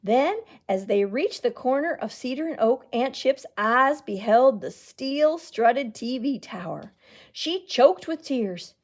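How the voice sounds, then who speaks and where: Animated woman reading a children's story. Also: on the high side. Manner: dramatic, deliberate delivery. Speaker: library storyteller. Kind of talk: expressive children's picture-book reading.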